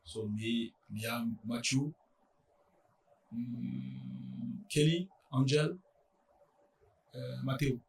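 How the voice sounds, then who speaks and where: A young man from France with a French accent, speaking English as a second language in a casual interview, halting and full of fillers. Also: mid-range voice, recorded from a distance, a little hiss or hum. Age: young adult. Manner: halting, many fillers and restarts. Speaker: college soccer player, guest.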